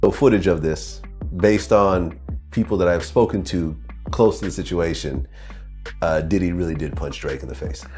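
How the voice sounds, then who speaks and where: A deep-voiced man asks questions in a conversational interview. Light music runs under his voice. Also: moderate pace, a little mellow.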